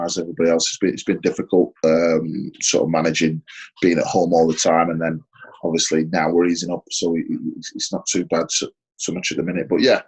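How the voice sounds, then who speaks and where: A deep, low-pitched man from Sheffield with a husky edge to his voice. He's in his forties and talks through his answers haltingly, circling back with plenty of fillers and restarts, in a relaxed interview. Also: low-quality recording. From Sheffield, England. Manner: hesitant, many fillers and restarts. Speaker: interview guest, former footballer.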